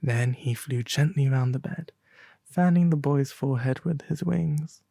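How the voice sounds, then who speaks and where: A performer voicing a refined owl demon prince, reading a storybook tale softly and gently in ASMR style. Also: mid-range voice, creaky, dry recording, studio quality. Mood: gentle, soothing. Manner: slow, gentle, theatrical storytelling.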